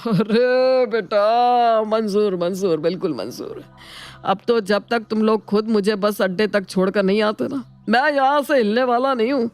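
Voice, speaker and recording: A woman's voice: mid-range voice, unhurried, darkish tone, soft background music, close to an everyday voice. Woman playing an affectionate, effusive elderly uncle. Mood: grateful. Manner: affectionate, effusive, repeats words. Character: elderly, grateful, easily flattered village uncle. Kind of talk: animated Hindi moral story dialogue.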